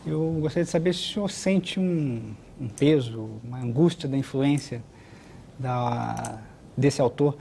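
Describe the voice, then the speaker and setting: A man's voice: mid-range voice, measured pace, vocal fry, mellow, a little hiss or hum. A middle-aged man, asking hesitant, hedged questions on a television studio panel. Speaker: interviewer. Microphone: lapel mic.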